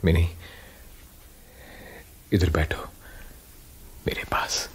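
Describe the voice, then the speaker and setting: An actor playing a weary, self-doubting writer in a radio drama, husky and breathy, brooding and hesitant. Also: male voice, sits low, flat delivery, very slow, whispered. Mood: weary, troubled. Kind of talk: Hindi radio drama acting.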